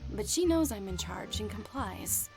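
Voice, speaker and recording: A woman's voice: mid-range voice, animated, normal speed, gravelly. A woman playing a brash, impatient lead investigator in a visual novel, sarcastic and combative. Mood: wary, scornful. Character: brash, impatient female investigator in charge of a team. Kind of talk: visual novel voice acting with inner narration. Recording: music behind the voice. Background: game music.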